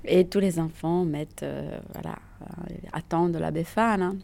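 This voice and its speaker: Woman, middle-aged, very slow, gravelly, mellow low voice, thoughtful and hesitant. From Italy. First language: Italian. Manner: thoughtful, unhurried, frequent hesitations. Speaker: interviewee. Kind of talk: reflective personal testimony.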